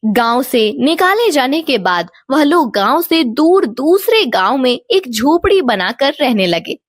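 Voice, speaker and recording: A woman's voice: high-pitched, very animated, a little slow, no echo, studio quality. A woman storyteller giving scripted narration of a moral tale.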